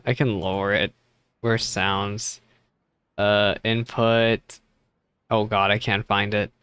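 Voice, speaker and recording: A man's voice: lowish voice, flat delivery, slow pace, fairly bright tone, clear, resonant tone, no room sound. A man streaming a game reaction from a temporary home setup on a desk mic, chatty and self-deprecating. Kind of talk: casual reaction stream commentary. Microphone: desk microphone.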